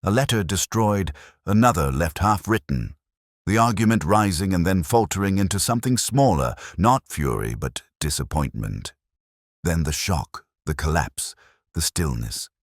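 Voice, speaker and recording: A man playing a weary, melancholy English police detective, narrating a radio mystery in a measured, literary, introspective manner. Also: low voice, animated, no echo, clean studio sound. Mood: sombre, reflective. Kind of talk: slow literary first-person detective narration, radio drama.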